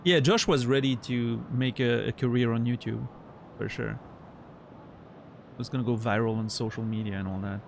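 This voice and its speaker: A man's voice: mid-range voice, normal speed, gravelly, a little hiss or hum. A man from Switzerland with a Swiss French accent, a native French speaker chatting casually with viewers while streaming a game, often restarting his sentences. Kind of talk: casual chat while gaming.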